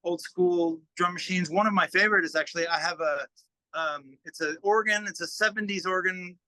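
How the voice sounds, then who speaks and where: A man with a fairly high, notably bright voice, recorded dry and close to room-free. He talks casually as a musician being interviewed, pausing and working out his thoughts as he explains. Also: dry recording, rough recording. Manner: halting, thinking aloud while explaining.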